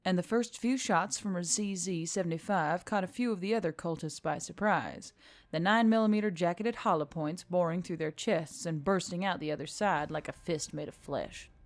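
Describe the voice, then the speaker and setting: A woman's voice: on the low side, moderate pace. A woman reading scripted action-fantasy fiction as an audiobook narrator.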